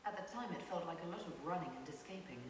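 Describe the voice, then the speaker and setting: A woman's voice: lowish voice, animated, lightly acted. Woman acting firm heroic commander, very echoey. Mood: calm, reflective. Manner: firm, measured, authoritative. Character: commanding elven heroine leading a holy army. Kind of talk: video game cutscene dialogue. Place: game audio re-recorded in a room by camera. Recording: very echoey, distant off-screen capture, hissy.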